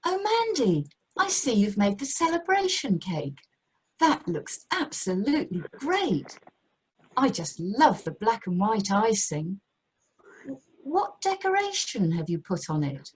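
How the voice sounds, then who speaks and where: An amateur actress playing a put-upon, placating middle-aged British wife in a radio play. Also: female voice, mid-range voice, very animated, slow pace, husky, heavily acted, rough recording. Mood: pleased. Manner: harried, conciliatory.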